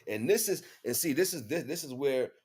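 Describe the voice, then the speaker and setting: A man's voice: medium pitch, lively intonation, fairly quick, a little mellow, slightly rough. An American man livestreaming an informal spiritual monologue to viewers, speaking in emphatic, repetitive, slangy street talk. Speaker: livestream host.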